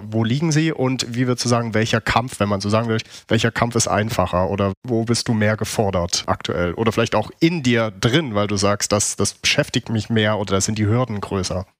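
A man hosting a podcast, putting conversational interview questions in a deliberate, searching way. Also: lowish voice, dry recording, clean studio sound. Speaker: podcast host.

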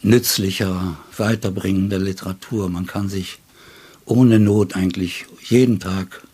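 A man in his seventies with a low, distinctly husky voice talks about literature as a guest. He searches for his phrases with care and lands on ornate, considered words. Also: fairly even intonation, dark tone. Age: 70s. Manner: deliberate, halting phrasing with ornate word choices. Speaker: interview guest, poet. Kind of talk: reflective literary conversation.

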